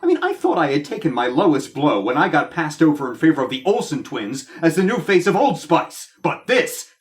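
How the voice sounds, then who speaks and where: Casting: vain, wronged thespian, melodramatic and petulant, very lively intonation, comic audio drama. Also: male voice, on the high side, brisk. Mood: outraged, wounded. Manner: melodramatic, indignant, exclaiming. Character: vain, aggrieved actor brother, theatrical and petulant. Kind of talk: comedic audio drama acting.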